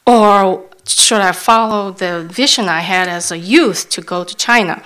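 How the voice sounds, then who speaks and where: A woman interpreting a sermon into English as it happens, often halting and restarting while she searches for words. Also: mid-range voice, a bit bright.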